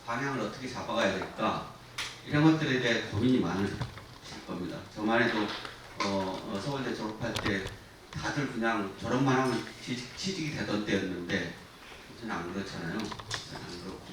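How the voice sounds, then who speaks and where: A man's voice: fairly low pitch, brisk. A man in his sixties giving an informal guest lecture to students, frank and self-deprecating, with many fillers. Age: 60s. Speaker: production company head giving a guest lecture. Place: university lecture hall. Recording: distant mic in an echoey lecture hall with noise.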